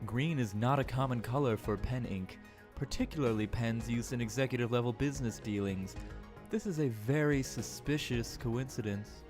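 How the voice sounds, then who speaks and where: Man acting a formal, mid-pitched prosecutor. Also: music in the background, lightly acted. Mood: challenging. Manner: measured, formal, challenging. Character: formal, pressing male courtroom prosecutor. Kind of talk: audio drama acting.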